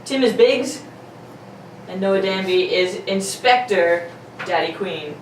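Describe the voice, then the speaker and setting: A woman's voice: medium pitch, expressive, takes it slowly. A woman casually and jokingly running down a cast list as a show regular. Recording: echoey room, distant mic, faint noise.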